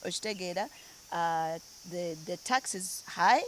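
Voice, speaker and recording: A woman's voice: lowish voice, unhurried, a little hiss or hum. A woman from Uganda who grew up in Denmark, chatting easily as an interview guest and switching between languages. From Uganda, raised in Denmark. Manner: mixes English and Luganda, easygoing, laughs often. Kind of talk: casual interview chat.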